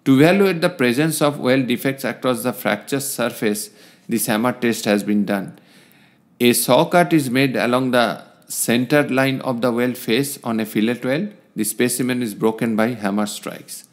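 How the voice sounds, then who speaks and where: A man's voice: medium pitch, moderate pace. A man narrating a scripted technical lesson in a didactic, explanatory way, as an instructor reading out his points. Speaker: instructor in welding inspection. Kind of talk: scripted lecture narration over slides.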